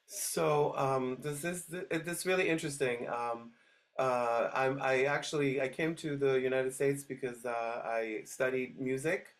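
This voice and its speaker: A man's voice: medium pitch, fairly even intonation, measured pace. A middle-aged man from Switzerland, a dog trainer guest telling stories in a casual interview, hesitant and full of restarts. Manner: hesitant, many restarts and fillers.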